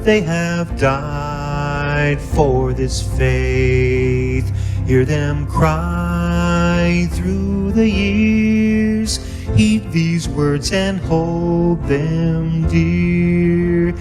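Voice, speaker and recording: Woman singing a church hymn real slow, lowish voice, guitar and music behind her. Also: steady tone. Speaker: worship singer.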